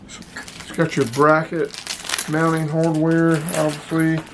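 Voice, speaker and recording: A man's voice: on the high side, flat delivery, takes it slowly, background noise. A man giving a casual, plain-spoken product review as the host, talking informally to his viewers. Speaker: channel host reviewing radio gear.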